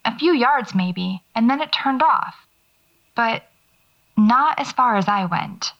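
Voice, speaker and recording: A woman's voice: medium pitch, creaky. An actress playing a nervous, wry horror writer who records her eerie explorations on a lo-fi tape, muttering to herself in an audio drama. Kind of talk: audio fiction acting, recorded field diary. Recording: dull lo-fi cassette tape sound.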